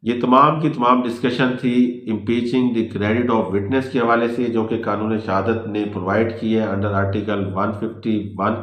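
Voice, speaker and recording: Echoey, measured Pakistani man giving a lecture. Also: fairly low pitch, steady tone, a bit bright. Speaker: law lecturer. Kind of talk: scripted legal lecture mixing English and Urdu. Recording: roomy, echoing voice recording.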